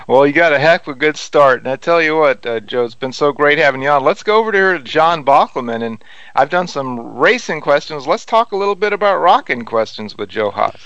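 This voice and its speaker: Jokey male radio host, smooth voice. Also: on the high side, lively intonation, normal speed, fairly bright tone, dry recording. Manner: jokey, chummy interviewer. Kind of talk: radio interview. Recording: dull lo-fi radio sound.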